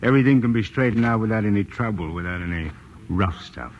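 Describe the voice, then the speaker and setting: An actor playing a mock-polite, calmly threatening crime boss in a 1940s-style radio drama. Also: male voice, fairly low pitch, quick pace, highs cut off, natural voice. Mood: sly, insinuating. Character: smooth, menacing male crime syndicate boss. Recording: old radio recording, faint noise.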